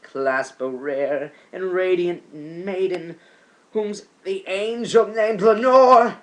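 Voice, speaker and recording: A man's voice: sits high, takes it slowly, voice pushed up. A man playing a grieving, haunted man in a dramatic poem, crying out theatrically in anguish. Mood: desperate pleading. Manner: theatrical, impassioned outcries.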